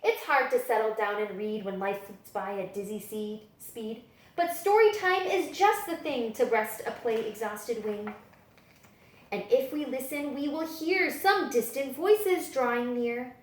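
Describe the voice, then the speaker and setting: A woman reading children's picture books aloud as a story-time librarian, with dramatic, expressive storytelling. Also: on the high side, a little slow. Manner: dramatic, animated storytelling delivery. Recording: echoey room.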